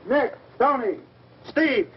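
Commanding police chief, muffled old film. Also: male voice, very high voice, lively intonation, takes it slowly, slight background noise, full character voice. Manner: commanding, matter-of-fact. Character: brisk matter-of-fact small-town police chief giving orders. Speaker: police chief leading a posse.

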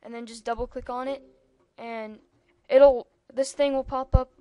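A woman's voice: fairly high pitch, steady tone, unhurried, clean tone, no echo, lo-fi sound. A young-sounding female speaker giving a rambling, casual computer tutorial over a screen recording, with lots of ums and asides. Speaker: tutorial maker.

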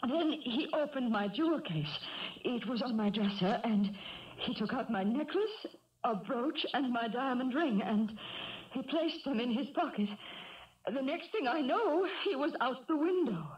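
An actress playing a genteel, wealthy woman shaken by a burglary, speaking haltingly in a 1970s radio drama. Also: sits high, animated. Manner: hesitant, halting. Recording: dull 1970s radio recording.

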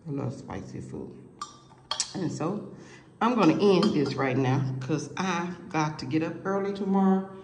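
A woman's voice: fairly low pitch, lively intonation, a little slow, darkish tone. Chatty woman vlogging from an echoey kitchen. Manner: chatty, rambling, talks straight to viewers. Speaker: cooking vlogger. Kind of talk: casual cooking vlog chat. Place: home kitchen table, indoors. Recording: echoey room with faint hiss.

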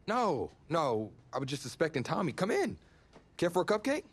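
Young American quarterback, animated delivery. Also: male voice, a little high, normal speed, husky, exaggerated voice. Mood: eager, polite. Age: young adult. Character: young, eager, loyal college football quarterback. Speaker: actor in a sports video game. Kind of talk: naturalistic cutscene acting. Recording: music behind the voice.

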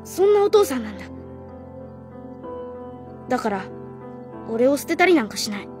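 A high, boyish voice acted by a woman plays a young schoolboy in a radio drama. He is lonely and stubbornly trusting. Soft music sits faintly behind him. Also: very animated, moderate pace. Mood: wistful, earnest. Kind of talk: Japanese radio drama acting.

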